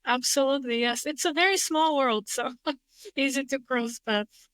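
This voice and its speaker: A woman from Bulgaria, a real estate investor, answering interview questions in a measured, thoughtful way with frequent restarts. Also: high voice, a bit bright, no room sound. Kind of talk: interview conversation.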